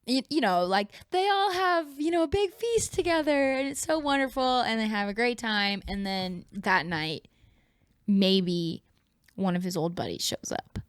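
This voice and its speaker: A woman's voice: fairly high pitch, a little slow, clear, resonant tone, no room sound, studio quality. A woman co-hosting a podcast in a casual brainstorming chat, slipping into imagined dialogue as she explains ideas. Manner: conversational, acts out imagined dialogue. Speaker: podcast co-host.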